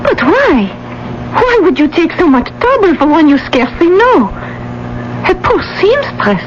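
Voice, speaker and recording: A woman's voice: extremely high pitch, sing-song, measured pace, exaggerated voice. A woman in a radio drama playing a poor seventeen-year-old Parisian seamstress, theatrical, plaintive and naive. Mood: earnest wondering. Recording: dull, noisy old radio broadcast.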